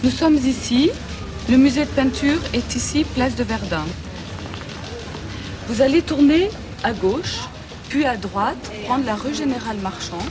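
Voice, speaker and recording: A woman's voice: high voice, expressive, a little slow, pauses often, music in the background. A woman playing a polite tourist office clerk, giving directions in a scripted scene.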